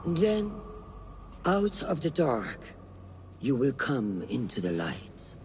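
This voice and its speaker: A woman's voice: rumbling low, big pitch swings, takes it slowly, a little mellow, a little hiss or hum, heavily acted. A middle-aged woman playing a stern, solemn female master of an assassin order in a game, with a ceremonial, commanding manner. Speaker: voice actor. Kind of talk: dramatic game cutscene acting. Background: music.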